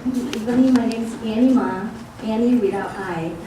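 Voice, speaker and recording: A woman's voice: fairly high pitch, moderate pace. A woman with a Chinese accent, a school board candidate, giving an earnest forum statement in non-native phrasing. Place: outdoor park event. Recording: echoey, far from mic, noisy.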